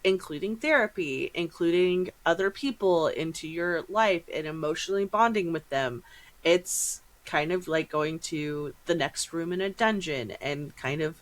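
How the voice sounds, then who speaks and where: A woman's voice: on the low side, a little slow, poor audio. A woman hosting a casual podcast interview in an effusive, informal, self-deprecating way, speaking into her own microphone in a room at home. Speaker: podcast host.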